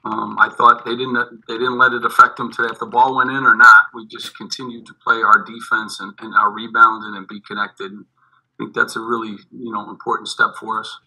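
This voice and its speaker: A middle-aged man, a head basketball coach, answering postgame questions over a video call, halting and repeating his words, with many fillers. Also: lowish voice, little pitch movement, creaky, sharp tone, rough recording. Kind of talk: postgame press conference answers. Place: indoors in front of a team backdrop. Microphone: video call webcam.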